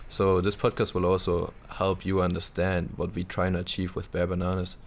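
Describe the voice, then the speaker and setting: Deep, gravelly, flat-toned young man. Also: normal speed. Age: 20s. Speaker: podcast co-host. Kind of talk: casual chat.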